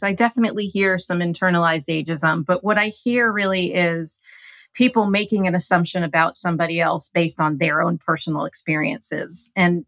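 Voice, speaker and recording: A woman speaking as an expert guest on a radio interview, explaining ideas in an articulate, measured way. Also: lowish voice, a bit bright, dry recording, poor audio. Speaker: gerontologist guest.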